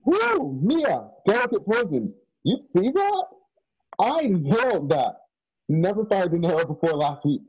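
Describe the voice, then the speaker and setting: Guy doing eager young country-talking trainee, husky and swingy voice, radio play, muffled home mic. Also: sits high, big pitch swings, unhurried, a little mellow, heavily acted. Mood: excited, proud. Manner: folksy, rural dialect. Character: earnest, excitable young man in training. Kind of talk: remote radio play acting. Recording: dull lo-fi home microphone.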